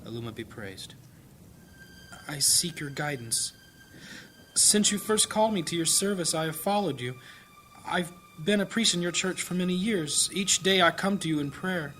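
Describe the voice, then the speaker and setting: A man acting a gentle, troubled priest and family man in a fantasy audio drama, earnest, measured and devout. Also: fairly high pitch, animated, slight background noise, low-quality recording. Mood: prayerful. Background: music.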